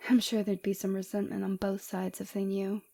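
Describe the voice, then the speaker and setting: A woman playing a flirty, forward villain and rival warrior in an ASMR roleplay, teasing and coy with a breathy voice, close to the microphone. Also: mid-range voice, level tone, a little fast, mellow. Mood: coy, mischievous. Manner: teasing, coy, seductive, often dropping to breathy whispers.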